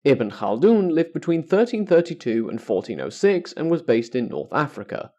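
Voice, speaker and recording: A man's voice: fairly high pitch, big pitch swings, moderate pace, a little mellow. A man hosting an educational podcast, reading scripted narration in a formal, carefully structured way.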